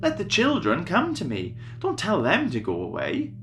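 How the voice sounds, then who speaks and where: A man's voice: a little high, wildly swooping pitch, normal speed, fairly bright tone, soft background music, voice pushed up, exaggerated voice. A man voicing a firm, kindly male teacher in a children's Bible story, emphatic and instructive. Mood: emphatic welcoming.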